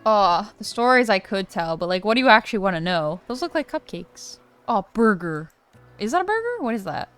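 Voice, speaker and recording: A woman's voice: medium pitch, very animated, a little fast, a bit bright, smooth. A young woman doing casual, chatty and slangy let's play commentary as a gaming streamer. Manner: chatty, slangy, reacting aloud. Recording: game music behind voice. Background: video game music.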